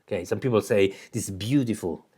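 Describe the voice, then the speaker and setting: A man's voice: lowish voice, a little fast, mellow, breathy edge. A man with an Italian accent talking through local AI hardware in an unscripted way, with many fillers, restarts and small laughs. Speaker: tech video host. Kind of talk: casual unscripted explainer.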